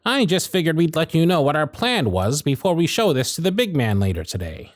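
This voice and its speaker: Man acting as an animated, sardonic workshop supervisor. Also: on the high side, a little fast, no room sound, studio quality. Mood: firm, confident. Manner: theatrical, exasperated, sarcastic phrasing. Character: proud, sardonic male workshop supervisor, protective of his intern. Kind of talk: scripted ASMR roleplay acting. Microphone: close studio mic, dry.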